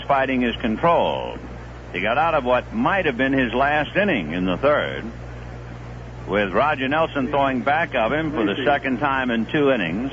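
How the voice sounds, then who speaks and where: A man calling baseball play-by-play on a 1970s radio broadcast, in a steady, conversational manner. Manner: steady, conversational play-by-play with live pitch calls. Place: stadium broadcast booth. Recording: muffled 1970s AM radio broadcast with faint hiss.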